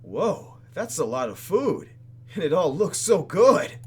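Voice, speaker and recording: A man's voice: a little high, very animated, takes it slowly, slightly rough. A man doing playful, exclamatory gameplay commentary as he reads a visual novel aloud, with faint music behind him. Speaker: let's play host.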